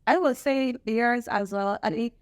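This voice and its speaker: A woman, speaking as a guest in a halting, soft-spoken way. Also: on the high side, smooth, dry recording, studio quality. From Barbados. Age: 20s. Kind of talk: casual chat.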